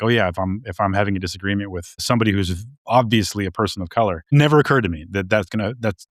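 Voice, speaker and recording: A man with a fairly low voice talks through ideas on a podcast, circling back and repeating himself as he thinks. His voice sounds dry and close to the mic. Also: no echo. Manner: hesitant, restarts and repeats words often. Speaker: podcast co-host. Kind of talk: casual discussion.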